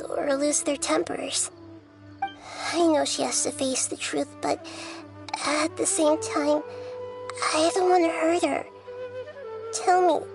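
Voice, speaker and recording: A woman voicing a kind, thoughtful little girl deity in a video game, speaking softly and earnestly. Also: sits high, vocal fry. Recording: game dialogue over music.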